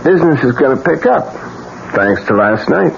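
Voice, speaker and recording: An actor playing a bitter, reckless painter with a death wish, sardonic and theatrical, in a 1970s radio drama. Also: male voice, medium pitch, big pitch swings, normal speed, vocal fry. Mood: mocking, brisk. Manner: sardonic, theatrical, swinging delivery. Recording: muffled, noisy 1970s radio drama recording.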